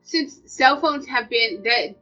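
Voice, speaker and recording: A woman's voice: a little high, takes it slowly, bright, forceful tone. A woman with an American accent chatting casually and emphatically as a vlog co-host, repeating her points for stress. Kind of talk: casual opinionated chat. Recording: dull lo-fi audio, music underneath.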